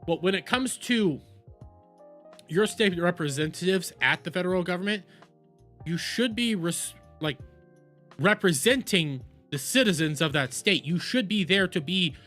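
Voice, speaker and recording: A man giving a casual, exasperated political rant as a streamer, halting and repeating words, sometimes swearing. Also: sits high, lively intonation, fairly bright tone, faint music behind. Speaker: streamer, host.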